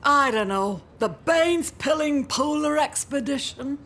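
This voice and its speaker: A woman's voice: high voice, animated, unhurried, fairly bright tone, full character voice. An actress playing a brusque, exasperated village pub landlady in a comedy audio drama, blunt and bossy. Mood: glum, wry.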